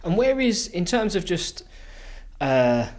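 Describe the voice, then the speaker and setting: A man's voice: high voice, sing-song, slow, darkish tone. A man hosting a podcast and asking questions in a casual, conversational way. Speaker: podcast host, interviewer.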